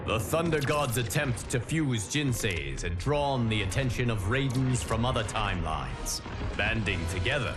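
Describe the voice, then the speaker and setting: An actor playing a solemn, stern guardian of timelines, narrating a fighting video game's story in a formal, measured way. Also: male voice, fairly low pitch. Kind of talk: scripted dramatic narration. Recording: clear music under the voice. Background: background music.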